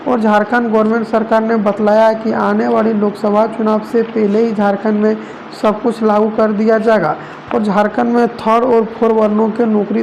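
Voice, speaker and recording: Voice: mid-range voice, a little mellow, rough recording. A news presenter narrating regional reports in wordy, repetitive phrasing. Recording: noticeable background noise.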